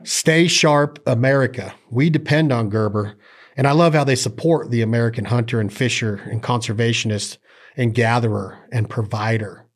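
A man's voice: mid-range voice, moderate pace. A man hosting a podcast in a rambling, joking, folksy way, drifting into ad reads. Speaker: podcast host. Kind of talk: casual podcast chat and ad reads.

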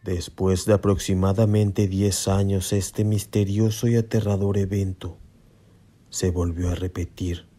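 A man's voice: low-pitched, little pitch movement, unhurried, mellow. A man narrating a scripted horror radio story with dramatic suspense. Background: music and night insects.

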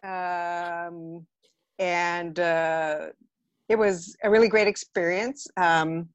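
A woman's voice: on the low side, fairly even intonation, drawn-out words, clean tone, no room sound, highs cut off. A woman, telling stories candidly and with self-deprecating humour as a guest in a casual interview. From Los Angeles area, United States. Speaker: podcast guest, theatre director.

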